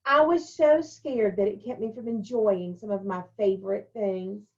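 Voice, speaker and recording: A woman's voice: medium pitch, darkish tone. Woman reading to children, dull lo-fi recording. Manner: simple, deliberate phrasing aimed at young children. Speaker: elementary school staff member reading a picture book to children. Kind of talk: children's storybook read-aloud, addressing young pupils.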